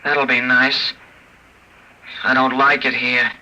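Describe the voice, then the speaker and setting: An actor playing a gentle, naive male robot in a 1950s radio drama, asking simple, earnest questions. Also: medium pitch, level tone, slow pace, rough recording. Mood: plaintive.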